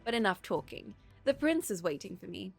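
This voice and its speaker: A woman's voice: medium pitch, big pitch swings, fairly quick, gravelly, breathy edge, soft background music, exaggerated voice. A woman voicing a proud, stubborn young princess in a story, speaking formally. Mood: defiant, proud. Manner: formal, declarative phrasing.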